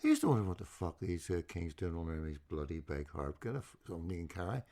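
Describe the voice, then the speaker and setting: Blunt, very deep-voiced man. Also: wildly swooping pitch, fast talker, warm tone. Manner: blunt and anecdotal, swears freely. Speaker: podcast host. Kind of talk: casual conversation.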